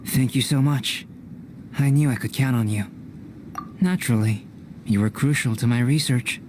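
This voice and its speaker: A man's voice: mid-range voice, darkish tone, natural voice. Man playing young alchemist, composed, analytical, formal, video game cutscene, noisy background. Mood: calm, appreciative. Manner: measured, formal, analytical delivery. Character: composed young male alchemist, calm, scholarly and detached. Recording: clear noise behind the voice.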